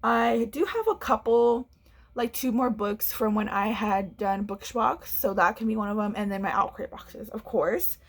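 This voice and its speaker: A woman's voice: medium pitch, normal speed, breathy edge. A young woman, a book vlogger chatting casually at home, a little congested, rambling and restarting as she talks. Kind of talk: casual chat to camera. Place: home room.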